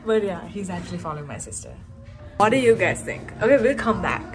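A woman's voice: on the low side, brisk. Giggly young woman, music over it. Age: young adult. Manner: excited, giggly, gushing. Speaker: vlogger. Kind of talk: casual vlog chat. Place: clothing store fitting room. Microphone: phone camera held at mirror. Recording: music playing over the talk.

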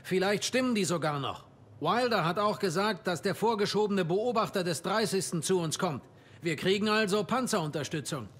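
An actor playing a weary, earnest army squad leader in a dubbed war game, shifting between command and brooding monologue. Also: male voice, high-pitched, lively intonation, moderate pace, fairly bright tone, breathy edge, exaggerated voice. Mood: firm, briefing. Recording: faint background noise.

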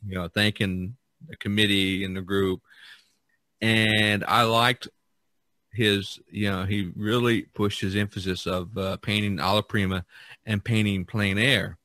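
A man with a fairly low voice hosts a casual conversation. He rambles and restarts phrases as he goes. The sound is very dry, with no room to it. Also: steady tone, a little slow, bright, forceful tone, dry recording. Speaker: podcast host. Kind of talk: casual chat about painting.

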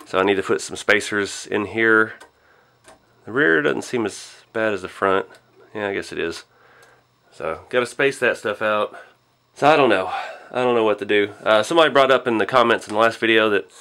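Low-voiced man, a bit hoarse. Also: bright, forceful tone. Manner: laid-back, rambling, lots of ums and I don't knows. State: hoarse from a cold. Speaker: RC hobby channel host. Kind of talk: casual build walkthrough. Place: home garage workshop.

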